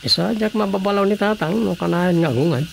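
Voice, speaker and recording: A gravelly Ilocano man, weary and dutiful as a grown son in a radio drama. He speaks matter-of-factly in a creaky voice over background noise. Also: high voice, brisk. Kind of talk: Filipino radio drama acting.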